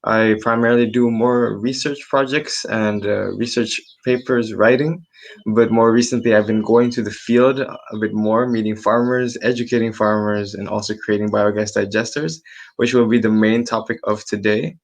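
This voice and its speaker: A man's voice: fairly low pitch, steady tone, normal speed, poor audio. A man from Indonesia, a research assistant, giving a casual online presentation with many ums and restarts over a computer mic. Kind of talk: presenting a webinar talk.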